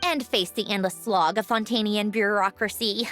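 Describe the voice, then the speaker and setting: A woman voicing a haughty, sardonic mechanical young aristocrat in a video game, speaking in a crisp, dry manner. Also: mid-range voice, steady tone, normal speed, fairly bright tone, faint music behind, natural voice. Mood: disdainful.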